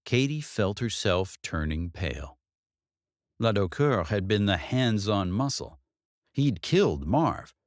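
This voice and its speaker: A man's voice: lowish voice, big pitch swings, slow, no room sound, clean studio sound. A man reading a novel aloud as scripted audiobook narration.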